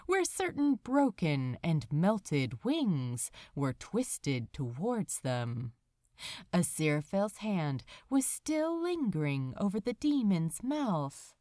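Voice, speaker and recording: Woman narrating slowly with lively pitch. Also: dry recording, clean studio sound. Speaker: audiobook-style fan fiction narrator. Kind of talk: expressive scripted story narration.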